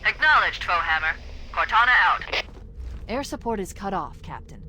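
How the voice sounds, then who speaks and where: A woman playing a composed, clever military artificial intelligence in a sci-fi game, speaking in a precise, briskly informative way. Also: mid-range voice, sing-song, bright, forceful tone, a little hiss or hum, poor audio. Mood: composed, analytical. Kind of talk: scripted sci-fi game acting.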